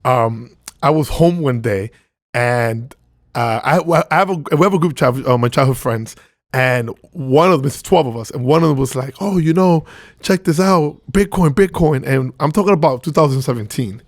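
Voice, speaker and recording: Animated podcast guest, man, dry close sound. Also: medium pitch, moderate pace, no room sound. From Venezuela. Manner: animated, acts out his own past reactions. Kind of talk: casual storytelling. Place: podcast studio.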